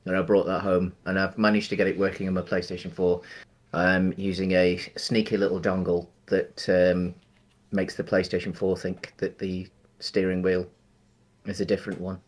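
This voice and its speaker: Low-voiced man, halting casual chat. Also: flat delivery. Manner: rambling, many ums and restarts. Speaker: podcast co-host. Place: home room, video call.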